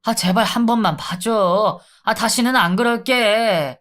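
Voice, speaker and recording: A man voicing a sneering, wheedling male convict in drug withdrawal, who taunts and then begs with drawn-out sighs. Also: sits high, a bit bright, pitched above the performer's own voice. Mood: pleading.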